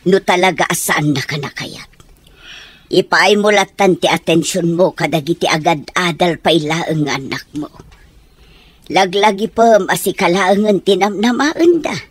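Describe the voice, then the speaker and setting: A woman's voice: lowish voice, unhurried, husky, dry recording. An actress playing a consoling, motherly woman in an Ilocano radio drama, with an earnest, soothing delivery and an Ilocano accent. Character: consoling mother figure, gently advising.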